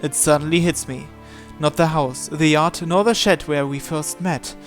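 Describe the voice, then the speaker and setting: A man's voice: a little high, steady tone, moderate pace, soft background music. A man hosting a visual novel playthrough, reading the first-person narration aloud.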